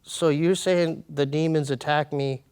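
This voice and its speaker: A man's voice: on the high side, steady tone, measured pace, darkish tone, smooth. Candid, slangy man talking casually. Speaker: podcast guest, comedian and hip-hop artist. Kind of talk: casual conversational interview.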